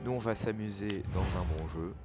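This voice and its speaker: A man's voice: on the low side, normal speed. A young man casually commenting while playing a video game, chatty, self-mocking and swearing freely. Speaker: gaming YouTuber. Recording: loud game music behind.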